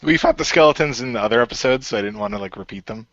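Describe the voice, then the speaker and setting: Man, creator of the show, casual chat, bright tone, dry lo-fi recording. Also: mid-range voice, racing, no room sound. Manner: laughs often, rambling and self-deprecating. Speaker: creator and writer of the radio play.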